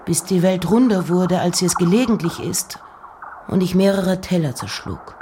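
A woman giving an intimate, measured dramatic reading of poems and letters, at times dropping close to a whisper. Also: lowish voice, animated, noisy background. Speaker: reciter.

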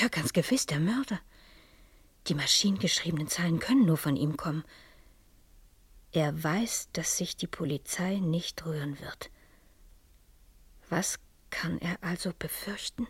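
An actress playing an educated, anxious middle-aged schoolteacher who narrates her own mystery in a reflective, often hushed radio-drama style. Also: fairly low pitch, husky, no echo. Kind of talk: 1970s German radio drama acting, intimate first-person narration.

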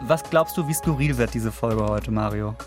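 A man's voice: lowish voice, normal speed, over music. A man hosting a radio podcast, chatting playfully with a guest and using many fillers. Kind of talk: casual radio chat.

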